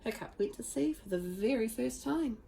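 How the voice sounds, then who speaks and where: A woman reading a children's storybook aloud with expressive, dramatic emphasis. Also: fairly high pitch, moderate pace, gravelly, slight background noise. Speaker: storybook reader.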